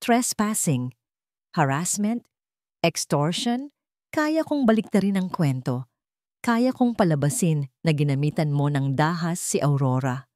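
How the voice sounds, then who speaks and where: A woman's voice: deep voice, very animated, measured pace, a little mellow, no echo, clean studio sound. A woman voicing an arrogant wealthy man who sneers and threatens. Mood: menacing.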